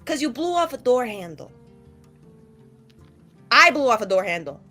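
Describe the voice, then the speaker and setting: A woman playing a tabletop roleplaying game, chatting casually in an animated, rambling and frank way. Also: fairly high pitch, moderate pace, faint music behind. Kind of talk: casual improvised roleplay chat.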